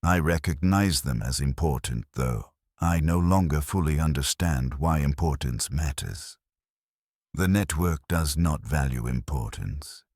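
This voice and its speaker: A man narrating a scripted first-person sci-fi horror story in a solemn, measured way, in short deliberate phrases. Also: extremely low pitch, darkish tone, dry recording, clean studio sound.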